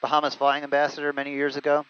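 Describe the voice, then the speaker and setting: A man's voice: fairly high pitch, completely flat delivery, rapid-fire, clear, resonant tone. A man who is a pilot, chatting casually about flying from inside a small jet cockpit. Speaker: pilot, guest co-pilot. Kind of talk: casual chat, recounting flying career. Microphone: aviation headset. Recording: dull, muffled headset audio.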